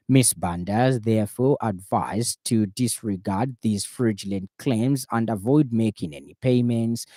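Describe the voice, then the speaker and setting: Low, mellow Zambian male newsreader. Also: dry recording, clean studio sound. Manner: formal, measured. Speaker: radio news anchor. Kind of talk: scripted news bulletin reading. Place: radio studio.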